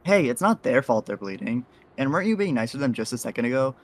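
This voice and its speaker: An actor playing a kindly, soft-hearted faceless figure in an audio drama, with an easygoing and reassuring way of talking. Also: medium pitch, big pitch swings, fast talker. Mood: protective.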